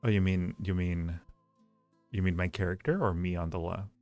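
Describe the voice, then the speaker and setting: Low-voiced man, playful livestream host. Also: fairly even intonation, normal speed, darkish tone. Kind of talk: casual livestream chat with viewers. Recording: roomy echo, faint music behind.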